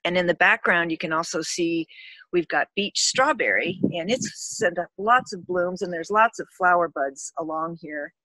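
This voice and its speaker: A woman's voice: lowish voice, normal speed, bright, forceful tone, no echo, poor audio. A woman giving a casual tour of her backyard garden, explaining plants in a friendly, explanatory way.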